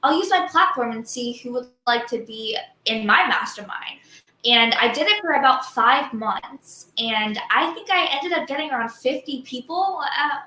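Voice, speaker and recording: A woman's voice: high voice, sharp tone, roomy sound, poor audio. A woman from Southern Florida, a guest entrepreneur, talking in a chatty way and often saying "like" during an interview over a video call. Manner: chatty, fast, says "like" often. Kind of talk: casual interview.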